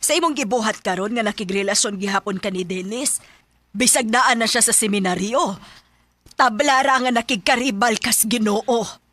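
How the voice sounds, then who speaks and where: A woman's voice: a little high, normal speed, a bit bright, no room sound. A woman playing a stern, scolding mother in a radio drama, with a Bisaya accent. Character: stern, scolding mother worried for family honour.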